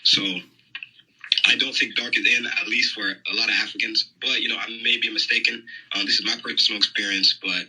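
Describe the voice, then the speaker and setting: Quick, husky-voiced young male caller. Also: on the low side, flat delivery, sharp tone, rough recording. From United States. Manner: hesitant, many "you know" and "um" fillers. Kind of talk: phone-in conversation.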